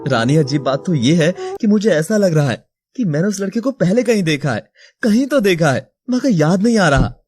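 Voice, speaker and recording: Man playing remorseful young husband, anguished, imploring, dramatic audio story, slightly high pitch, clear background music. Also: sing-song, moderate pace, a little mellow, no echo, exaggerated voice. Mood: troubled. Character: remorseful married young man pleading with his childhood love. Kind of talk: Hindi audio story acting.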